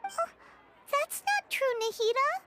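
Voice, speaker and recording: A woman voicing a tiny, excitable fairy companion in a video game, with a squeaky childlike voice and a chirpy, exclamatory manner. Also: measured pace, heavy background noise. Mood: excited, pleading. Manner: chirpy, exclamatory, refers to self by name. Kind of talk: video game dialogue acting.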